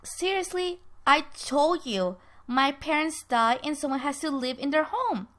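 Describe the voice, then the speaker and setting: A woman's voice: high voice, lively intonation, unhurried, a bit bright, voice pushed up. A woman voicing a bitter, sarcastic woman who taunts her former lover in a story, with theatrical emphasis. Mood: teasing, dry.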